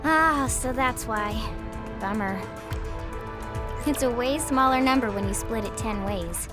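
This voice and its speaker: A woman playing a high-voiced perky young teammate. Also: unhurried, natural voice. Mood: deflated. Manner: exclamatory, informal. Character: perky, guileless young female tech teammate. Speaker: voice actor in a game cutscene. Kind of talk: game cutscene acting. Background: music.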